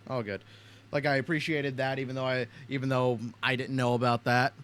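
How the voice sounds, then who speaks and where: A man's voice: mid-range voice, flat delivery, brisk, a bit bright, clean tone. A man hosting a casual reaction video, talking bluntly and swearing a lot. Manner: blunt, heavily profane.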